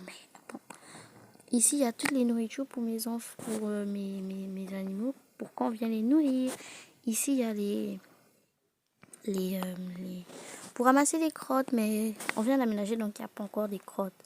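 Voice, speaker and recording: Young female vlog host, fairly high voice, nasally congested and sick, improvised casual delivery with repeats, slightly noisy home-made audio. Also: mellow. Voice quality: congested, blocked-nose voice. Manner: halting, repeating words, exclaiming. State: sick with a cold. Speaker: host of a game house tour video. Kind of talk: casual improvised tour commentary. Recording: noisy amateur recording with clicks.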